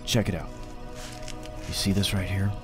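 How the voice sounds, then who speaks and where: A casual army tech specialist played by a man, fairly low in pitch. He explains in an informal, slightly hesitant way, as in an audio drama, with music clearly behind him. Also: little pitch movement, normal speed, natural voice. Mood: puzzled. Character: male army tech sergeant, casual and a little unsure.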